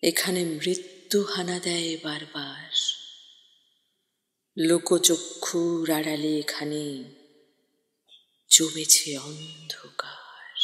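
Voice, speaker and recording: A woman giving a dramatic poetry recitation in a theatrical, declamatory manner with deliberate pauses. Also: fairly low pitch. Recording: echoey, long reverb on voice.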